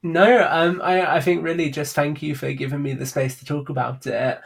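A man's voice: fairly high pitch, steady tone, normal speed, creaky, husky. A man talking casually as a guest on a podcast about his creative work. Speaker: podcast guest, audio drama writer and producer.

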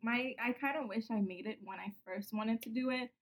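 A woman's voice: on the high side, brisk. Giggly young woman, chatty. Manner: giggly, chatty, lots of "like" fillers. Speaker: podcast guest, YouTuber. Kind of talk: casual chat.

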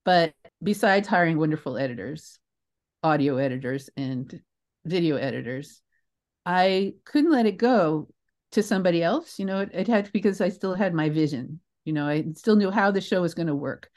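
Older American female, slightly lower register. Conversational interviewee, reflective and emphatic, prone to digression. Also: expressive, moderate pace, darkish tone. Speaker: interview guest, theatre creator.

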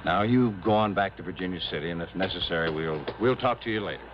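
A man's voice: low voice, fast talker, noisy background, lightly acted. An actor playing an earnest, hesitant small-town lawman in an old radio drama, stammering and repeating his words. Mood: accommodating, hesitant. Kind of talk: 1950s-60s radio drama acting. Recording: muffled vintage radio recording.

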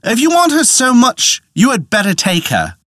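A man's voice: extremely high pitch, wildly swooping pitch, moderate pace, fairly bright tone, no echo, pitched above the performer's own voice, exaggerated voice. A man voicing a nervous, timid young husband with a raised, flustered voice.

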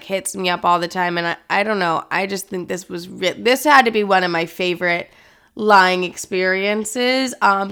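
A woman's voice: mid-range voice, a bit bright, clean tone. A young woman chatting casually as a show host, telling stories in a sassy, gossipy way with dramatic emphasis and lots of filler words. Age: young adult. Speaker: host of a solo chat show. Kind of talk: casual confessional storytelling chat.

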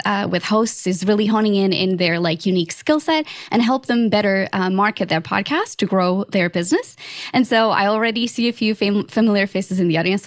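A woman's voice: mid-range voice, no echo. Chatty woman from Portugal, dry sound. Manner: upbeat, chatty, many likes. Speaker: podcast company founder. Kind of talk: casual panel introduction.

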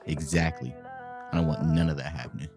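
Man playing a low-voiced, cocky, wary guy. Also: steady tone, a little fast, music in the background. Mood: doubtful, ribbing. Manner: slangy, boastful, wary. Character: guarded, cocky, skeptical single guy playing pool. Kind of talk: casual conversational audio drama acting.

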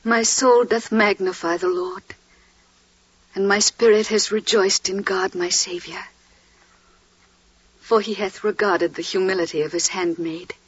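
An actress playing a humble, devout young maiden, reciting reverently in 1940s radio drama style. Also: female voice, mid-range voice, breathy edge. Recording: dull, roomy 1940s radio recording.

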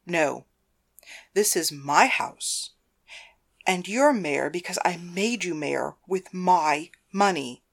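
An audiobook wife acted by a woman who rules her husband with a firm hand. Her voice sits a little low, and she speaks sternly, issuing demands as someone used to control. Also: lively intonation, slow pace, creaky, few pauses, exaggerated voice. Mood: cold anger. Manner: commanding, stern. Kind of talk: audiobook character dialogue.

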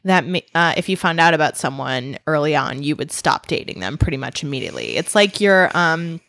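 A woman's voice: low voice, sing-song, brisk, creaky, fairly bright tone, no room sound. A woman from the United States co-hosting a podcast in candid, casual conversation, frank and self-deprecating with jokey oversharing. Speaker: podcast co-host.

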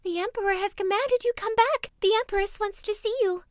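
A woman's voice: on the high side, big pitch swings, fairly quick, sharp tone, no room sound, clean studio sound. A woman voicing a flustered male palace servant in a fairy tale, agitated and formally deferential. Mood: breathless, urgent. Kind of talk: storybook character voice.

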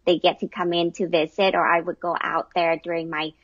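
A woman's voice: on the low side, little pitch movement, a little fast, no room sound, dull audio. A middle-aged woman from Vietnam, answering casually as an interview guest. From Vietnam, moved to the US at eleven.

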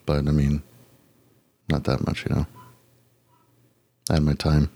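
A man's voice: rumbling low, completely flat delivery, measured pace, mellow. A man chatting casually as a podcast co-host, rambling and slangy with casual swearing. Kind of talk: casual chat.

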